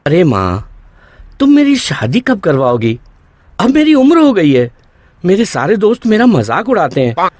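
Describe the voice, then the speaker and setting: A man's voice: sits high, wildly swooping pitch, measured pace, no echo, heavily acted. A man voicing an exasperated young adult son in a cartoon story, complaining in an exaggerated way. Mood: pleading, complaining. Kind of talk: animated moral story acting.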